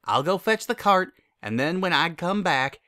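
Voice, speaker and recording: A firm, caring country mare played by a man from a cartoon pony story. The delivery is folksy and blunt, pitched a little above the reader's own. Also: lively intonation, moderate pace, sharp tone. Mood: grave, urgent. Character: plain-spoken, practical country farm mare, caring and firm.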